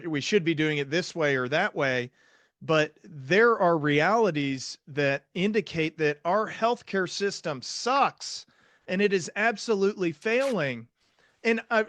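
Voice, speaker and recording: A man's voice: high-pitched, fairly bright tone, dry recording. A man with an American accent hosting a podcast interview in a conversational style. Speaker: podcast host, psychiatrist.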